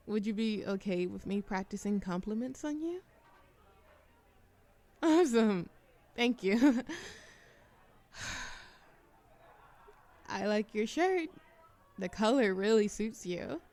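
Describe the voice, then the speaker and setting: A woman's voice: medium pitch, expressive, slow, long pauses, mellow. Woman voicing shy bookish young woman, socially anxious, mid-range voice, hesitant, self-deprecating, conversational roleplay audio. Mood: flustered, hopeful. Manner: nervous giggles, hesitant, self-deprecating rambling. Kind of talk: roleplay ASMR audio drama, conversational monologue.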